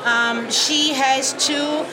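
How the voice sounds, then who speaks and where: A woman's voice: high-pitched, fairly even intonation, very slow, over music, low-quality recording. A woman with a Brazilian accent, a native Portuguese speaker, explaining community programs conversationally as an interview guest. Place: video call.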